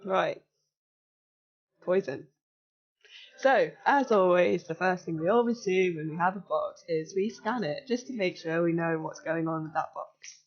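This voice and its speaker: A young woman in her twenties, slightly croaky, chatting casually and giggling as she walks viewers through a hacking exercise on a live stream. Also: lowish voice, unhurried, muffled recording. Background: faint music.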